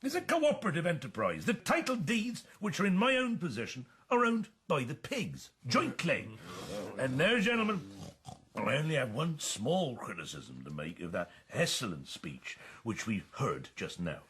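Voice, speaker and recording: An actor playing a pompous male pig leader in a radio drama, husky-voiced and given to formal, self-important speechmaking. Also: a little high, wildly swooping pitch, normal speed, a bit bright, heavily acted. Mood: pompous, smooth.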